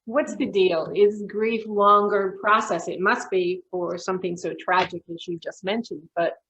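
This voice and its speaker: A woman's voice: mid-range voice, steady tone, unhurried, a bit bright, lo-fi sound. A woman interviewer asking casual, probing questions with hesitant restarts. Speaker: interviewer, organization director.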